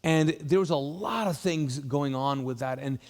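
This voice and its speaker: Chatty pastor in a reverberant hall. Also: male voice, on the high side, unhurried, darkish tone. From Kuwait. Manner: conversational, many fillers and restarts. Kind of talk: casual church welcome talk.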